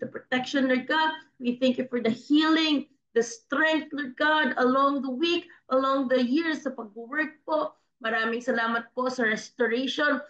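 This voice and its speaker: A woman's voice: a little high, fairly bright tone. A woman with a Filipino accent, hosting an online prayer meeting, praying fervently and repeating her thanks while mixing English and Tagalog. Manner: fervent, repetitive, switching between English and Tagalog. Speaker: prayer leader and host. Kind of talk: spoken prayer and closing announcements. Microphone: video call. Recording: dull lo-fi call audio in an echoey room.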